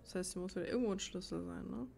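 A woman's voice: lowish voice, rapid-fire, gravelly, a little mellow, slight background noise. A woman giving casual gameplay commentary, rambling and thinking aloud as she plays. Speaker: let's player. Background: game music.

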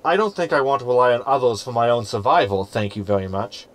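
An actor playing a wry, self-preserving hologram crew member with a dry, sarcastic manner, in a science fiction comedy audio drama. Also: male voice, medium pitch, fast, close to an everyday voice. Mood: sardonic.

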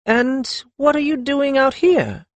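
A doting older mother played by a man, her voice lifted high and fretful. She speaks in exclamations, like a flustered working woman in a storybook, and the sound is close and dry. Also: sing-song, a little slow, breathy edge, no room sound, full character voice. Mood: surprised, chatty.

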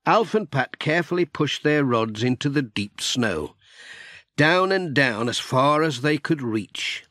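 A man narrating a children's story audiobook in an expressive storytelling manner. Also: mid-range voice, no echo, studio quality.

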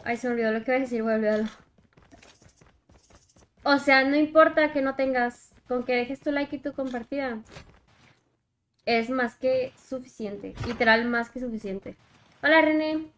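A woman's voice: high voice, normal speed, long pauses. A young woman with a Mexican Spanish accent chats casually and slangily as she streams a game, often trailing off mid-thought. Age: young adult. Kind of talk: casual livestream chat while gaming. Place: indoor room, alone. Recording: game vehicle and helicopter noise behind. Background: mobile shooter game sounds, helicopter.

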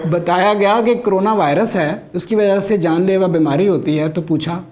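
High-pitched middle-aged Pakistani male satirist. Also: normal speed, a little mellow. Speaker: stand-up comedian, satirist. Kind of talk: scripted political satire monologue. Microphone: lapel mic.

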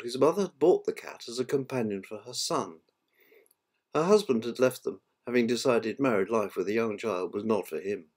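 An older man narrating a children's story from a book in a measured, amateur reading manner. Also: medium pitch, darkish tone. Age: 60s or older. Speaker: author reading his own book.

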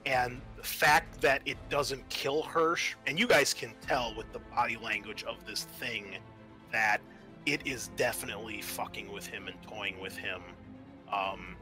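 A man's voice: fairly low pitch, sharp tone. A man narrating a tabletop horror game as game master, improvising in an informal, descriptive way with some salty language.

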